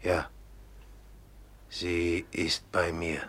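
An actor playing a brooding, menacing, intense man in a literary radio drama, speaking in a terse, halting, ominous manner. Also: sits low, level tone, fairly bright tone, slightly rough, heavily acted. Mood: secretive, brooding.

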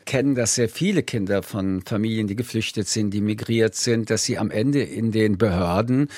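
An older man from France, whose first language is French, answers interview questions in a thoughtful, measured way with frequent hesitations. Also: fairly low pitch, darkish tone, no room sound. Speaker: interview guest.

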